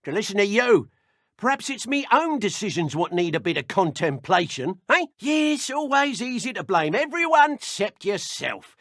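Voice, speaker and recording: Sneering British dark wizard henchman, swinging pitch. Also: sing-song, normal speed, no echo, clean studio sound, exaggerated voice. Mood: irritated, threatening. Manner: taunting, theatrical, colloquial. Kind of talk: video game character voice acting.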